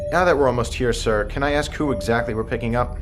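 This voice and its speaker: A man's voice: mid-range voice, quick pace, natural voice. A male actor plays a cautious submarine second-in-command in an audio drama. His mid-range voice is formal and deferential, with firmness under it. Light music plays behind him. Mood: dutiful, formal. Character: dutiful, cautious male submarine executive officer.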